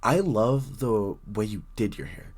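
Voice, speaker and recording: A man's voice: on the low side, big pitch swings, unhurried. A man playing a very shy, bookish young stranger in an intimate roleplay audio drama, stammering, rambling and apologising nervously as he addresses the listener. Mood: flustered.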